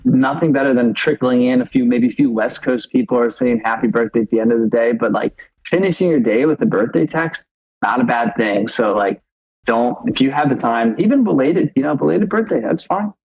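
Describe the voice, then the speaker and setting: A man's voice: mid-range voice, a little fast, a little mellow, husky, low-quality recording. A man of thirty co-hosting a podcast, chatting casually and rambling with lots of filler words. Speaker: podcast co-host. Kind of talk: casual chat.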